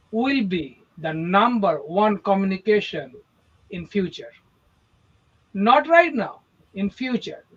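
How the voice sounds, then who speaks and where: A man's voice: high voice, dull audio. A man from India with an Indian accent, a real estate agent hosting a casual motivational chat, speaking emphatically and often checking in with "okay?".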